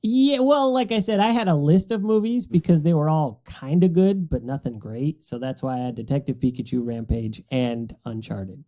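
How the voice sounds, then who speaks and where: A man's voice: a little high, normal speed, hardly any pauses, warm tone. A man talking casually as a podcast panelist in a discussion among friends, on a dull, muffled recording.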